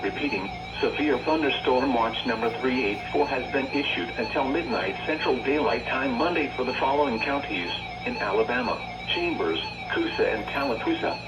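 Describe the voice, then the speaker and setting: A man's voice: medium pitch, animated, moderate pace, rough recording. A synthesized American man's voice reading scripted emergency weather bulletins in an impersonal, formulaic way over weather radio. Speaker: automated weather radio announcer. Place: radio speakers picked up by recording device. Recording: band-limited weather radio broadcast.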